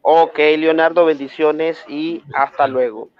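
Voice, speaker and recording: Slow, formal Nicaraguan man moderating. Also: a little high, fairly even intonation, clean tone. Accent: Nicaraguan Spanish. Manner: measured, formal, many hesitations. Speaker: debate moderator. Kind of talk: online livestream moderation. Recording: dull lo-fi online stream audio.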